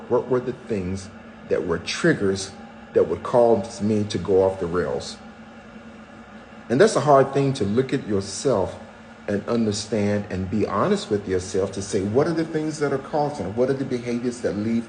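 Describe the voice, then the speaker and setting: A man's voice: fairly low pitch, darkish tone, slight background noise, rough recording. A man in his seventies chatting candidly on a podcast, telling stories with wry, self-mocking jokes. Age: 70s. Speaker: podcast co-host, husband. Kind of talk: casual chat.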